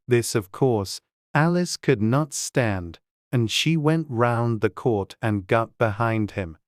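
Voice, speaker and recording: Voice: mid-range voice, a little mellow, no echo, clean studio sound. An audiobook narrator reading a scripted storybook.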